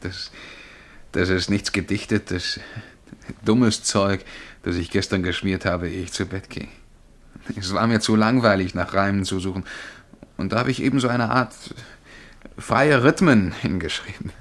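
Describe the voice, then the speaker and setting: Casting: young would-be poet for radio play, fairly low voice, dreamy yet sure of himself, offhand. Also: male voice, a little slow, fairly bright tone. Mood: shy, offhand. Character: cocksure dreamy young male amateur poet. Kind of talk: German radio drama acting.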